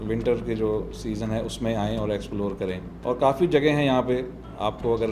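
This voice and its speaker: A man's voice: on the low side, fairly even intonation, normal speed, noisy background. A Pakistani man answering interview questions conversationally, earnest and explanatory, dropping English words into his speech. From South Punjab, Pakistan. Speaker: interview guest, doctor and biker tour organiser.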